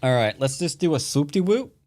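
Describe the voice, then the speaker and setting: A man's voice: on the high side, lively intonation, fairly quick, a little mellow. A man from the United States commenting live on his own Go game, playful and teasing toward his opponent. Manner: playful trash talk. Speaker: streamer and Go teacher.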